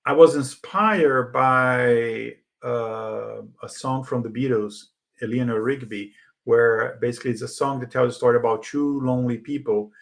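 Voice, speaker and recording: Gravelly-voiced man speaking Portuguese natively. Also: mid-range voice, unhurried. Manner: hesitant, many fillers and restarts. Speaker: podcast co-host. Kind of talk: casual conversation.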